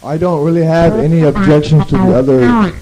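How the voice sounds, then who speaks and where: A hoarse man with a sore throat answering haltingly and quietly at a meeting, on an old archival tape. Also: on the high side, music in the background, rough recording. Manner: halting, quiet, plain-spoken.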